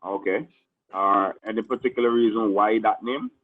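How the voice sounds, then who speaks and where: Man, radio host, low-pitched, husky, relaxed chatty questions, tinny phone-like audio. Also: normal speed. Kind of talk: radio interview.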